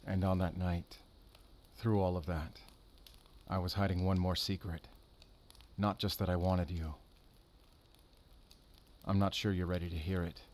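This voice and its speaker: A man playing a brooding, tender but guarded werewolf hunter in an intimate audio roleplay, speaking softly and haltingly. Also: deep voice, flat delivery, a little hiss or hum. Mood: confessional, yearning. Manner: soft, halting, intimate, earnest delivery.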